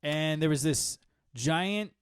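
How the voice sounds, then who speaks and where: A man in his thirties hosts a casual podcast from a home studio. His voice sits a little high, and he rambles with plenty of fillers and self-deprecating asides. The sound is close and dry, with no room echo. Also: takes it slowly, smooth. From Connecticut. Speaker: podcast host. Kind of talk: casual chat.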